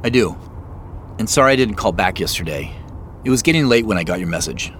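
A man's voice: on the low side, lively intonation, a little fast, fairly bright tone, slight background noise. A man playing a polite, uneasy professional in a naturalistic audio drama, speaking in an understated, hesitant way.

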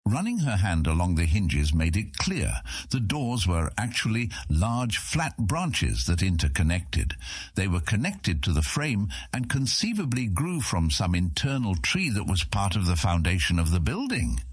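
Deep voiced guy narrating fantasy story, kinda raspy, dramatic delivery. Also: very animated, a little slow, dry recording. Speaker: audiobook narrator.

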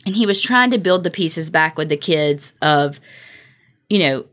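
A woman's voice: sits low, expressive, a little slow, a bit bright. An American woman co-hosting a podcast, telling a story in a casual, conversational way with informal, joking asides. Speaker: true-crime podcast co-host.

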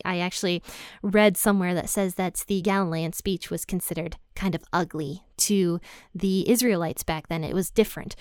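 Smooth-voiced woman hosting a Bible podcast. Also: medium pitch, darkish tone, no echo, clean studio sound. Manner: chatty and conversational, with frequent "like" and "and so"; laughs lightly between thoughts. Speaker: podcast host teaching the Bible. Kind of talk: casual Bible study podcast.

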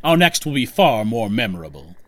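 An actor playing a pompous, wordy rebel leader with big schemes in an audio drama. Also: male voice, mid-range voice, sing-song, brisk, a bit bright. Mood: grandiose. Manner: pompous, wordy, mixed metaphors. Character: grandiose male rebel boss, theatrical schemer.

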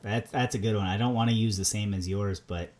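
A man with a Minnesota accent, co-hosting a podcast in a relaxed chat, laughing often and repeating words as he starts sentences. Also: fairly low pitch, a little fast. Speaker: podcast co-host. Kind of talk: casual chat.

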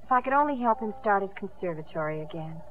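A woman's voice: medium pitch, lively intonation, fast talker, slight background noise, close to an everyday voice. An actress playing a spirited, defiant young woman swimmer in emphatic 1950s radio drama style. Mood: earnest, hopeful. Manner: emphatic, indignant. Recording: muffled, band-limited 1950s radio recording.

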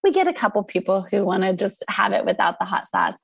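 An enthusiastic American woman chats as an interview guest. Her voice has noticeable creak and sits at a middling pitch. The sound is close and dry. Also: very animated, no room sound. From United States, grew up in the country. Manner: enthusiastic, chatty, quick to laugh. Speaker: interview guest, food truck operator. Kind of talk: casual interview chat.

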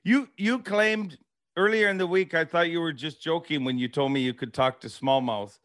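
High-pitched man hosting a casual interview. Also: normal speed, a bit bright. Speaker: show host, interviewer.

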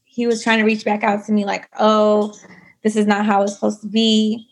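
A woman's voice: medium pitch, level tone, normal speed, a little hiss or hum, poor audio. A woman telling her own story in a casual interview, chatty, full of likes and you knows. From United States. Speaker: guest.

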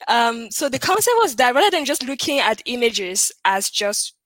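A woman in her thirties from Cameroon with a French-speaking Cameroonian accent, chatting as an interview guest about her research, animated and laughing often. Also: on the high side, moderate pace, dry recording, poor audio. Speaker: guest, computer vision researcher. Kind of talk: casual interview chat.

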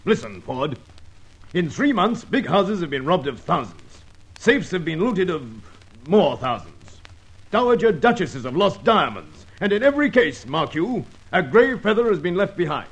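A blustering police superintendent. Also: male voice, sits high, sing-song, moderate pace, husky, full character voice, poor audio. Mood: exasperated. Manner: blustering, exasperated, clipped. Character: gruff irritable male police superintendent, middle-aged. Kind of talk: 1940s radio acting.

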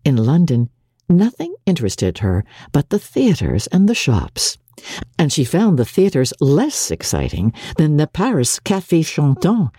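A woman's voice: low-pitched, big pitch swings, a little slow, dark tone, husky, dry recording, studio quality. A woman narrator giving a dramatic audiobook reading of classic literature.

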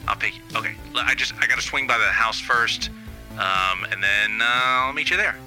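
A man's voice: mid-range voice, over music, natural voice, poor audio. A man playing a self-important, sleazy new wave band frontman in a rambling, conversational comic monologue. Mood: chummy, rambling. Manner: rambling, smug, halting asides. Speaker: writer and reader of a comic fiction series.

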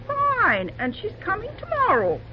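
A woman's voice: very high voice, wildly swooping pitch, a little slow, exaggerated voice. An actress playing a kind, bustling, folksy small-town restaurant woman in an old-time radio drama. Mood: eager, kindly. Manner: folksy, exclamatory. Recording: muffled, hissy old radio recording.

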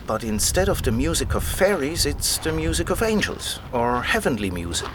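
A man's voice: fairly high pitch, sing-song, normal speed, noisy background. An actor playing a keen, patient male scholar who explains things like a storyteller in an audio drama. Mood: keen, explaining. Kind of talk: conversational audio drama dialogue.

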